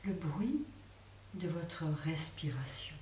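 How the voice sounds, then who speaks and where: Slow, soft-spoken woman guiding yoga. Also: low voice, lively intonation. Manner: slow, soft, pausing guidance. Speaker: yoga nidra teacher. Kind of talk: guided relaxation. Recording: roomy, distant mic with faint hiss.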